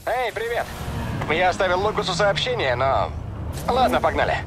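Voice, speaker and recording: An actor playing a cocky, chatty street racer in a video game, slangy and teasing. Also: male voice, sits high, big pitch swings, moderate pace. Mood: chatty, reminiscing. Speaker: street racing character. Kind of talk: Russian game dub dialogue. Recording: car engine noise behind.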